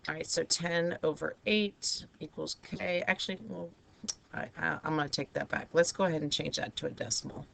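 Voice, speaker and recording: A woman teaching a math lesson with a raspy, hoarse voice, talking students through each step of the problems. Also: deep voice, steady tone, moderate pace, fairly bright tone, a little hiss or hum. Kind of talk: recorded math lesson over a digital whiteboard.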